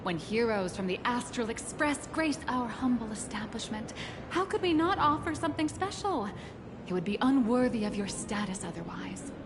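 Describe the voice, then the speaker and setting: A woman's voice: fairly high pitch, big pitch swings, moderate pace, background noise. A woman voicing a polished, persuasive corporate guide in a video game, courteous and salesy, with music behind. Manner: smooth-talking, courteous salesmanship. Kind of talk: scripted game voice acting.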